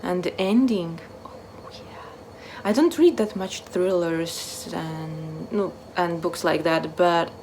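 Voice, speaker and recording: A woman book vlogger chatting casually to camera in short, halting phrases that trail off softly. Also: fairly low pitch, a little hiss or hum. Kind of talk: casual chat to camera, answering a book tag.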